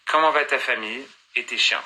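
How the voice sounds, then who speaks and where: A man in his thirties with a very bright, sharp-edged voice pitched in an ordinary middle range. He chats loosely into a phone, reading out fan questions and answering them, sliding from one language to another without pause. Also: rough recording. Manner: switches between languages mid-answer, reads comments then replies. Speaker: singer answering fan comments on a live stream. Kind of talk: casual live-stream chat, reading fan questions aloud.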